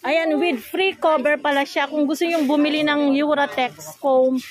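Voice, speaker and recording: High-pitched Filipino woman vlogging. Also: steady tone, moderate pace. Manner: repeats prices back for viewers. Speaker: vlogger interviewing a market vendor. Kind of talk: casual shopping vlog chat. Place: indoor shopping mall stall. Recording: noisy busy market stall.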